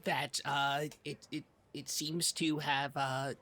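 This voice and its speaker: A man with a husky voice co-hosting a comedic podcast, improvising in a halting, stammering, mock-solemn way. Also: fairly high pitch, flat delivery, pushed and bright. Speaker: podcast co-host.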